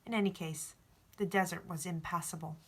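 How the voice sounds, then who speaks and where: A woman's voice: on the low side, fairly even intonation, a little fast, husky. A woman reading a novel aloud as storybook narration, in an informal way. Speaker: audiobook reader.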